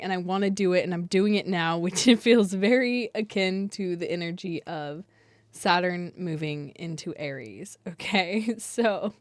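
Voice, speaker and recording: A woman's voice: lowish voice, no echo. A young woman, sick with a cold and sounding nasal, talking casually to camera as an astrologer, rambling and laughing often. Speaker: astrologer and channel host.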